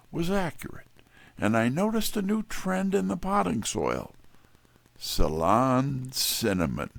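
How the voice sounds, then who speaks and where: Wry detective narrator male actor, audio drama. Mid-pitched, gravelly fry, dry close recording. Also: dry recording, exaggerated voice.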